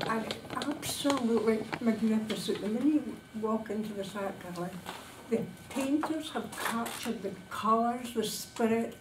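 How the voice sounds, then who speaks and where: Woman public speaker, slightly high voice, dramatic storytelling in short emphatic phrases, noisy room recording. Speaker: club speaker giving a prepared speech. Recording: distant, echoey room recording with background noise.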